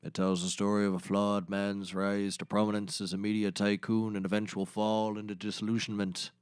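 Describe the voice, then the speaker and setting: An actor playing a gruff, deadpan outdoorsman and old sea hand in a scripted comedy audio show, with a dry storytelling manner. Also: lowish voice, fairly quick, no echo, close to an everyday voice. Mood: podcast hosting.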